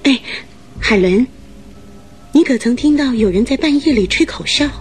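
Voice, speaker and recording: An actress playing a troubled young bride-to-be, uneasy and insistent, in a radio drama. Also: female voice, a little high, expressive, moderate pace, close to an everyday voice. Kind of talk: Mandarin radio drama acting. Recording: radio drama with music behind.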